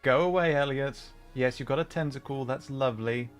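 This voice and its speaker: A man's voice: medium pitch, brisk, faint music behind. A man doing casual livestream chat and game commentary, often breaking off mid-thought to answer viewers. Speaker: livestreamer playing a game and chatting.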